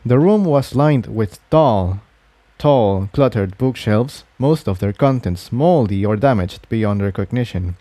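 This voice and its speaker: A man's voice: on the low side, expressive, measured pace, dark tone, no room sound. A man narrating a written adventure story as a reader of fan fiction.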